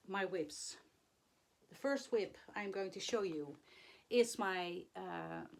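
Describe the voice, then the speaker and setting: A hoarse woman talks slowly to her camera and halts often with fillers. Her voice is still rough from the flu. Her pitch sits at an ordinary middle height. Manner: halting, many fillers and pauses. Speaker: flosstube vlogger. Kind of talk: casual chat to camera.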